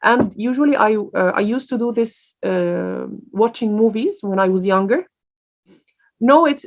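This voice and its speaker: Woman lecturing online, lots of uhs and restarts, easygoing q&a tone. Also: mid-range voice. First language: Arabic. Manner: informal and hesitant, many fillers and restarts.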